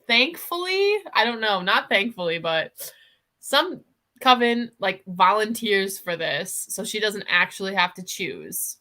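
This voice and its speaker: Bright-voiced woman chatting casually about books. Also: mid-range voice, lively intonation, measured pace. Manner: frequent "like", giggly, opinionated. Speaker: book discussion co-host. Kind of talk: casual excited book chat. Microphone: livestream video call.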